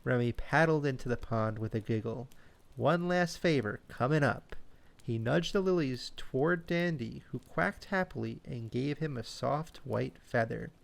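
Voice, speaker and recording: A man telling a scripted children's bedtime story in a gentle, cozy, expressive way. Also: mid-range voice, creaky. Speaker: storyteller and host.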